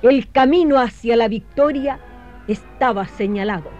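A woman's voice: on the high side, a little slow, close to an everyday voice. A woman playing a patriotic narrator who proclaims naval heroism in a historical radio drama. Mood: stirring, patriotic. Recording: muffled radio recording, faint music.

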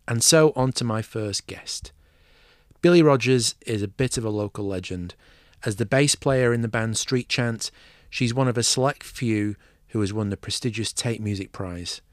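A man's voice: fairly low pitch, moderate pace, no room sound, studio quality. A man from England with a Manchester accent, hosting a movie podcast and chatting enthusiastically with a guest. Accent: Mancunian English. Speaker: podcast host. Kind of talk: scripted intro then casual interview.

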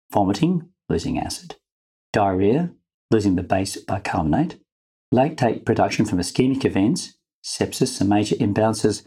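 Guy hosting a medical podcast, lowish husky voice, really mellow and dark. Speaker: podcast host. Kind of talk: scripted medical narration and interview talk.

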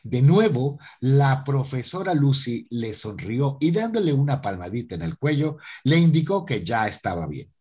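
A man's voice: mid-range voice, sing-song, moderate pace, hardly any pauses, a little mellow, slightly rough. A man narrating a scripted radio drama as its storyteller.

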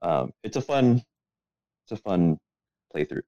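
A man's voice: deep voice, big pitch swings, a little slow, mellow, no echo, highs cut off. A man co-hosting a casual podcast in a laid-back, hesitant way, often hedging what he says. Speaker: podcast co-host.

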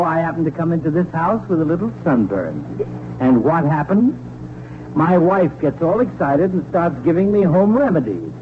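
Exasperated grumbling dad, tinny old radio. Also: male voice, fairly high pitch. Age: middle-aged. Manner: blustering, complaining, sarcastic. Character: exasperated, put-upon grumbling father and husband. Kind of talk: 1940s radio comedy acting. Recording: noisy, tinny 1940s radio broadcast.

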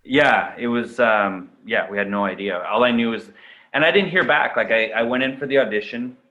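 A Canadian-raised actor whose first language was German, chatting casually in an interview with lots of fillers and restarts. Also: male voice, mid-range voice, moderate pace, lo-fi sound. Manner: relaxed, rambling with many fillers and restarts.

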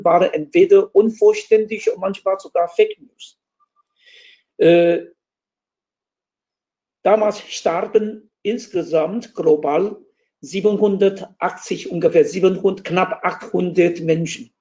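Man, gravelly mellow voice. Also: high voice. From Taiwan. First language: Chinese. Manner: hesitant, restarts phrases, searches for words. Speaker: interview guest. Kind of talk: explanatory conversation.

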